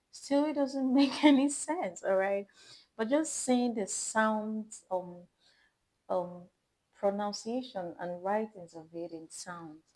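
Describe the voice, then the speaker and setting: A woman hosts a reaction video, chatting casually about what she just watched. She thinks aloud with fillers, her voice sitting somewhat low for a woman. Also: a little mellow, rough recording. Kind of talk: casual chat.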